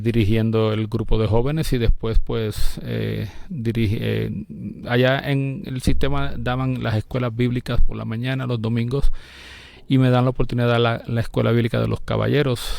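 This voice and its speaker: A man, a pastor telling his story in an interview in a measured way, often restarting words. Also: medium pitch, creaky, a little mellow, smooth, no room sound. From Puerto Rico. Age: 50s.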